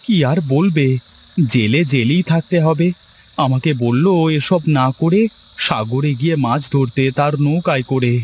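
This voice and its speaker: A man's voice: a little high, unhurried, darkish tone, a little hiss or hum, natural voice. A man voicing a poor village fisherman and husband in a cartoon story. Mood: weary, resigned. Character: poor village fisherman husband, humble, worried, later cocky. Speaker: cartoon character voice. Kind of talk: Bengali cartoon story dialogue.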